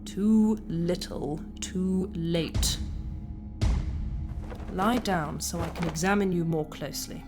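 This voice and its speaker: A woman's voice: fairly low pitch, steady tone, takes it slowly, pauses often. A woman playing a towering, stern alien healer in an audio drama, sardonic and bitter, with an imperious, blunt delivery. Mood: bitter, angry. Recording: music behind the voice.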